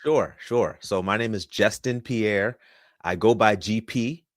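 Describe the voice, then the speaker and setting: A man's voice: fairly low pitch, a little slow, no echo, studio quality. A man from Florida, in his thirties, answering interview questions in an upbeat, polished speaker's way. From Florida, USA. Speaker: podcast guest.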